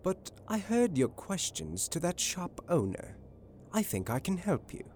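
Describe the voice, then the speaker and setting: A man playing a confident, shrewd detective in a dramatised audiobook, polite, measured and knowing. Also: high voice, very animated, a little mellow, full character voice. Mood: assured, knowing.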